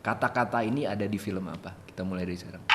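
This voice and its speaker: A man's voice: deep voice, racing, very noisy. A man hosting a casual interview with a quiz game, playful and laughing often. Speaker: host.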